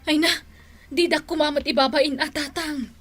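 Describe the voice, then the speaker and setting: Young village secretary daughter, Filipino accent, high bright voice, plaintive, chiding, radio drama. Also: female voice, steady tone, moderate pace, faint music behind. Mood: exasperated, embarrassed. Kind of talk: Ilocano radio drama acting.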